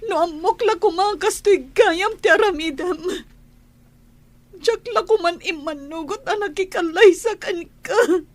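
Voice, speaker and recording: A woman acting a wronged, pregnant young wife in an Ilocano audio drama, tearful and pleading, with anguished, drawn-out delivery. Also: very high voice. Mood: hurt, accusing.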